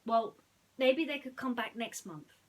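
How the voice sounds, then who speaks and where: A woman's voice: fairly high pitch, a little slow, voice pushed up. A woman voicing a gracious but firm young storybook princess, polite and emphatic. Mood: firm, relenting.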